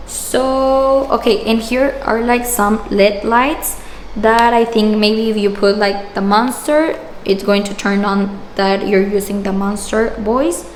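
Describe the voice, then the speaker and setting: A woman's voice: medium pitch, fairly even intonation, slow pace. A woman in her twenties doing a casual unboxing review, phrasing things hesitantly as she goes. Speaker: product reviewer. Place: small indoor room with shelves. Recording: echoey room with background noise.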